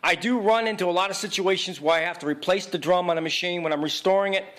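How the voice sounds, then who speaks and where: A man's voice: sits high, fast, fairly bright tone. A man narrating an informal how-to as a repair technician, plain-spoken and emphatic, often repeating his points. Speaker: video equipment repair technician giving a tutorial. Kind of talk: informal instructional narration over pictures.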